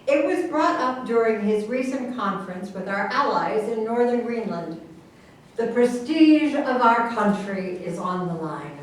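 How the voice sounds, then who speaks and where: A woman's voice: on the high side, expressive, a little slow. Echoey, pompous woman official, acted by a woman. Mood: stern, demanding. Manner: formal, pompous, lecturing. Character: imperious, self-important woman professor and government official. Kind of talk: staged reading of a satirical play. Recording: echoey room, distant mic, faint noise.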